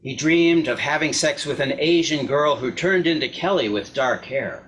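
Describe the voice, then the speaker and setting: A man's voice: on the high side, normal speed, fairly bright tone, low-quality recording. A man narrating a scripted short story aloud as a reader in a readers theater. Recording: clear background noise, clicks.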